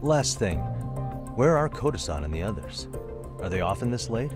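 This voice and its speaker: An actor playing a calm, streetwise private detective in a video game dub, with a cool, probing, wry delivery. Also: male voice, fairly low pitch, moderate pace, over music. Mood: probing, businesslike.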